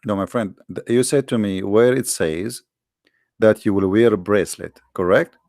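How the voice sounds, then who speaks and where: A man's voice: on the low side, normal speed, a little mellow, no echo, clean studio sound. A man hosting an argumentative debate, challenging and mocking his caller with repeated questions. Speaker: debate host.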